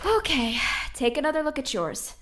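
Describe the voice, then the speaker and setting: Woman playing a haughty, higher-voiced princess ruler. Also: sing-song, moderate pace. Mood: businesslike. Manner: formal, biting delivery. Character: composed, haughty young princess ruler, firm and sharp-tongued. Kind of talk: theatrical amateur comic dub acting.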